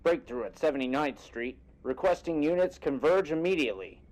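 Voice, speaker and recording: A man's voice: a little high, fairly quick, close to an everyday voice. An actor playing an urgent male police dispatcher making a clipped report in an audio horror drama. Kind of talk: dramatic audio radio-call acting. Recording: noisy intercom call with clicks.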